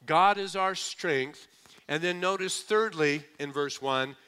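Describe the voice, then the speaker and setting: A male pastor preaching with a bright, forceful and crisp voice that sits a little high. He drops into a quieter aside, then slows right down to stress each key phrase. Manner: lively preaching, mimics skeptics, slows for emphasis. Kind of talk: sermon.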